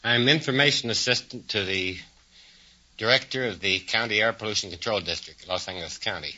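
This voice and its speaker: A man's voice: on the low side, fairly quick, pushed and bright, dull audio. A middle-aged American man from Missouri answering as a quiz contestant, plainspoken and matter-of-fact, on a vintage radio recording. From Moberly, Missouri, USA. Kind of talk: brief answers in an on-air interview.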